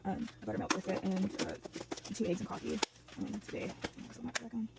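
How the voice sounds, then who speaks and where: A woman in her thirties with a hoarse voice, rambling casually through a day-in-the-life vlog at home, with many ums and restarts. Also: mid-range voice, fairly quick, very noisy. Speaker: vlogger. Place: at home, kitchen and house.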